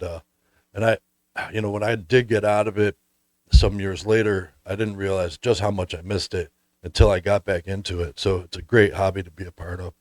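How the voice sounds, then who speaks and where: An American man hosting a relaxed, casual conversation about his hobby. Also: low voice, no room sound. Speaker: host.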